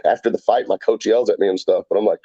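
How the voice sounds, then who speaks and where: A man guesting on a podcast, talking fast and rambling excitedly, swearing freely and acting out what others said. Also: lowish voice, breathy edge, no room sound, dull audio. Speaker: guest fighter. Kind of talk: casual podcast chat.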